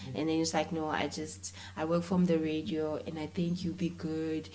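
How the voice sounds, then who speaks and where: A woman with a South African accent and a slightly low voice. She chats informally and in an animated way as a guest in a relaxed interview, switching between languages. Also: moderate pace. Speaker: interview guest, filmmaker and content creator. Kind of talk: casual conversational interview, code-switching.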